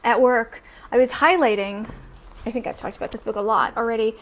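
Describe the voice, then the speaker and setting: A young adult woman chatting casually in a vlog, animated and full of ums and restarts. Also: on the high side, fairly quick, low-quality recording. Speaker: vlogger.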